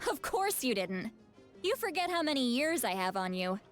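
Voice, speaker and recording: A feisty, proud grandmother from a video game, with a high voice that swings widely in pitch. She is brash and teasing, scolding with gruff affection, over soft background music. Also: very animated, moderate pace. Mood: smug.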